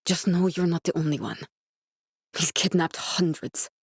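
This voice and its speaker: A woman's voice: fairly low pitch, steady tone, moderate pace, breathy edge, dry recording, clean studio sound. A young woman actor playing a determined, guarded scientist in a video game, speaking in an earnest, serious way. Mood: serious, urgent. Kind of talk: dramatic video game dialogue.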